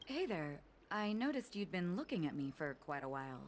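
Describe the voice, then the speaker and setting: A woman's voice: lowish voice, sing-song, normal speed, a little hiss or hum. An actress voicing a polite, attentive female helper in a video game. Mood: courteous. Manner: polite, helpful. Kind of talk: video game character acting.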